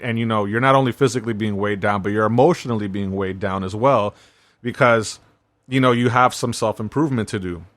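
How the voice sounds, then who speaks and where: A man's voice: mid-range voice, fairly bright tone, no room sound. A man hosting a self-help podcast, talking in a laid-back, conversational way and often checking in with "right?". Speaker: podcast host.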